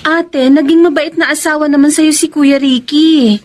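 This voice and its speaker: An actress with a Filipino accent playing an earnest, frank younger sister in a radio romance drama. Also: female voice, sits high, fairly even intonation, moderate pace, a little mellow, natural voice. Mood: reproachful, pleading. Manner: probing, earnest, reproachful.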